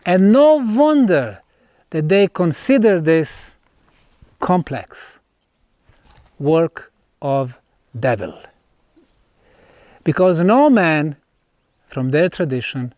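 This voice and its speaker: A man presents in the manner of an explanatory lecture, telling it like a story. His pitch swings widely as he stresses key words, and he breaks his sentences into short phrases. The pace is very unhurried, and the sound is dry and close. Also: animated, a little mellow, dry recording. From Bosnia. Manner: emphatic, storytelling, pausing between short phrases. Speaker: researcher presenting an archaeological site. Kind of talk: unscripted explanatory lecture to camera.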